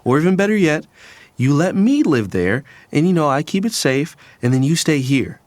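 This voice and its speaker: A man's voice: on the high side, sing-song, normal speed, a little mellow, no echo. A young man playing a disgruntled son in an audio drama, narrating in the first person in a casual, slangy, conversational way. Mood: pleading. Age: young adult. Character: disgruntled young son from a troubled wealthy family. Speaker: actor and narrator.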